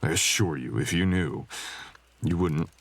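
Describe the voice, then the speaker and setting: A man playing a brooding, gentle dragonkin stranger in an intimate roleplay audio, speaking softly and hesitantly in courtly phrasing. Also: measured pace, full character voice. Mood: earnest, guarded. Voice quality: low, gravelly, husky. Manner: soft, hesitant, tender, archaic courtly phrasing.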